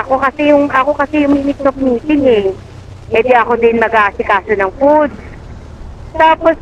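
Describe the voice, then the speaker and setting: A woman talks in casual conversation over a helmet intercom. Her voice comes through dull, with clear vehicle noise around it. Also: sits high. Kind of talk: casual chat. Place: outdoors on a motorcycle ride. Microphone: helmet intercom headset. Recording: muffled intercom audio, loud vehicle noise.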